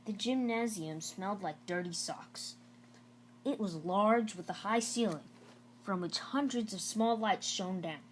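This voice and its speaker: A woman's voice: medium pitch, measured pace, slight background noise. A woman narrating a children's novel aloud in a storybook read-aloud style.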